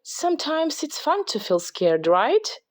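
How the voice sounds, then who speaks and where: A woman voicing an excitable, playful young girl in a children's storybook, exclaiming and coaxing as if talking to her pet. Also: high voice, unhurried, dry recording, clean studio sound, pitched above the performer's own voice. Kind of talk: children's storybook read-aloud character voice.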